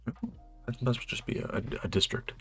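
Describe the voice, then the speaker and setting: A man's voice: fairly quick, sharp tone. A North American man playing a geography quiz, thinking aloud casually and muttering questions to himself hesitantly, with music and typing behind him. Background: music, computer keyboard typing.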